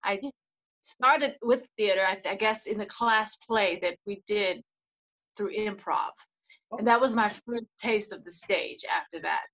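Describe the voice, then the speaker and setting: A woman from South Africa, a guest actor, telling her story in a thoughtful, measured way in casual conversation. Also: mid-range voice, fairly bright tone, muffled recording. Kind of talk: casual interview chat.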